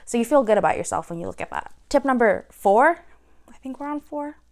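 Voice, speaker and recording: A young woman giving casual to-camera advice on learning a language, chatty and animated, playfully acting out her own reactions. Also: a little high, fairly quick.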